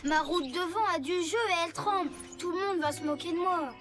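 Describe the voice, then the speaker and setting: A woman's voice: very high-pitched, fairly quick. A woman voicing a young boy rescue motorbike, shy but brave, in a French children's cartoon with an earnest manner. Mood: nervous, hesitant. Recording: music behind the voice.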